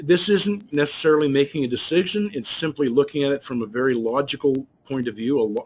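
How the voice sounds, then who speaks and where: A man's voice: medium pitch, husky, dull audio. A man working as a business trainer, talking through slides in a loose, rambling way with many restarts and fillers. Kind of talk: explaining slides in a recorded webinar.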